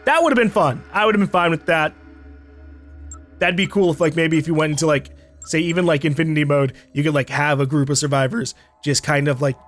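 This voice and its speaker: A man with a somewhat high voice gives a casual game review as a video host. He talks off the cuff in a loose, rambling way, halting and circling back as he goes. Music plays behind him. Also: a bit bright.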